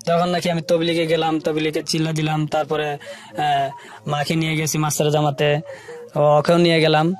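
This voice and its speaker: A man with a Bangladeshi accent telling his life story as an interview guest, in colloquial, halting short phrases. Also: on the high side, completely flat delivery.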